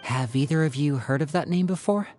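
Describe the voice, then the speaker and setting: A man's voice: fairly high pitch, fairly quick, a little mellow, faint music behind, close to an everyday voice. A man voicing a young stage magician and caring older brother, playful yet earnest as he lays out a plan. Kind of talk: video game voice acting.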